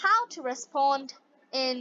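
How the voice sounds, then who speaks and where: A woman narrates a scripted lesson for language learners, speaking in a deliberate, carefully spaced way on a slightly dull recording. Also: high voice, animated, bright, forceful tone. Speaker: English teacher and story narrator.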